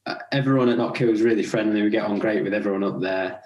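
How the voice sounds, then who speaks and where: A young man with an English accent talks in a relaxed, chatty way, often laughing as he tells stories. His voice sits fairly low. The recording sounds roomy and echoey. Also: fast, highs cut off. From Scotland. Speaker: podcast guest, racing rider. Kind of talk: casual interview chat.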